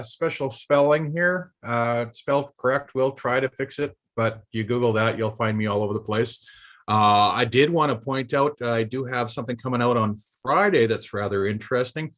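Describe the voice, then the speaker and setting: A man's voice: medium pitch, moderate pace, fairly bright tone. A man from Canada chatting casually and explaining things informally on a video call. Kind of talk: casual chat.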